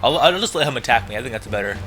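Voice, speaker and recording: A man's voice: medium pitch, animated, racing. A man giving casual let's play commentary, thinking aloud and reacting to the game as he plays. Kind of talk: casual gameplay commentary, reading dialogue aloud. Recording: game music behind the voice. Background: video game music.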